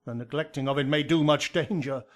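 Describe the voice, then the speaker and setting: An actor playing a grave, counselling friar with measured declamation in a Shakespearean radio drama. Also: male voice, a little high, lightly acted. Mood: troubled.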